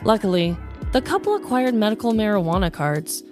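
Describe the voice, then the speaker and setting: A woman's voice: medium pitch, very animated, brisk, a little mellow, soft background music. An American woman narrating a scripted comedic true-crime story as a podcast host.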